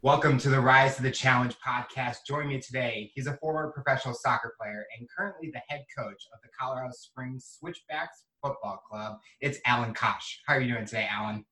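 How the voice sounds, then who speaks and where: Male podcast interviewer, crisp bright tone, fairly low pitch, conversational, recorded in a reverberant room. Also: fairly quick, few pauses. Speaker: podcast host. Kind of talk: podcast interviewing, casual questions.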